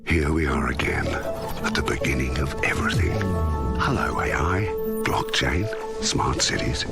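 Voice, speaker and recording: Very deep, gravelly male ad narrator. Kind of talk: scripted ad voice-over. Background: loud music.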